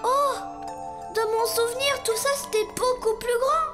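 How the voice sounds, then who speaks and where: A woman voicing an excited, chatty small boy in a space cartoon. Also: very high-pitched, measured pace, reverberant. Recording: cartoon dialogue with music behind.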